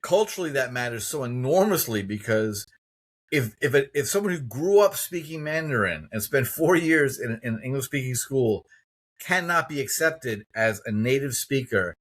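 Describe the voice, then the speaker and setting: A man's voice: medium pitch, expressive, normal speed, fairly bright tone. A man in his fifties from the United States hosting an interview podcast, telling stories in an emphatic, opinionated way. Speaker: podcast host. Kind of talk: conversational interview.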